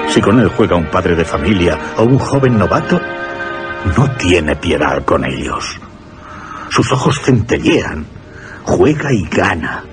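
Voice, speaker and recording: An actor playing an earnest, troubled young gentleman in a radio horror drama, emphatic and formal. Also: deep voice, full character voice.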